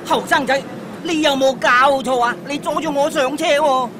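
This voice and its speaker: A man's voice: extremely high pitch, steady tone, moderate pace. An actor playing a pushy older male horse-racing fan who mocks and threatens to complain. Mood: rude mocking. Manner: rude, mocking, blustering. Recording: noisy station crowd.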